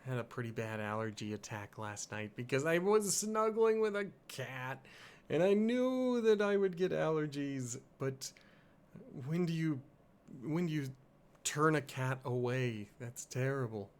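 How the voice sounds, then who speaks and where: A man's voice: mid-range voice, expressive, a little slow, clean tone. A slightly sniffly man hosting a livestream, chatting casually and rambling in a self-deprecating way. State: slightly sniffly, congested from allergies. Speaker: live streamer and host of a game playthrough.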